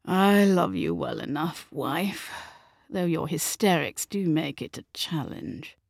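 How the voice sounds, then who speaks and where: A woman's voice: medium pitch, animated, slow, gravelly, a bit bright, no room sound, studio quality, full character voice. A woman voicing a coldly bored, cutting aristocratic father in an audiobook, with a haughty, impatient drawl. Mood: impatient, condescending. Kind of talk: audiobook character acting.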